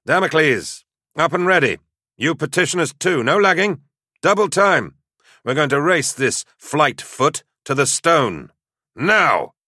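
A man's voice: medium pitch, expressive, normal speed, sharp tone, no room sound, studio quality, pitched above the performer's own voice. A man voicing a battle-hardened, impatient warrior sergeant with a terse, commanding manner, in an audiobook story. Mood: barking orders.

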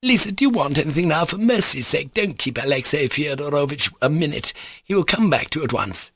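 A man's voice: fairly high pitch, lively intonation, brisk, a bit bright, slightly rough, no echo, studio quality, voice pushed up, exaggerated voice. A man voicing a gushing, flighty middle-aged society mother who exclaims and repeats herself in an audiobook story. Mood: excited, delighted. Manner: effusive, repetitive, exclaiming.

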